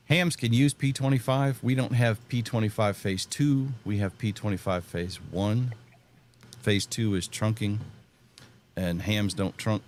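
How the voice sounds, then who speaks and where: A man's voice: on the low side, a little hiss or hum. A middle-aged American man in casual conversation, explaining things in a folksy, plain-spoken way. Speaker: podcast panelist and ham radio hobbyist.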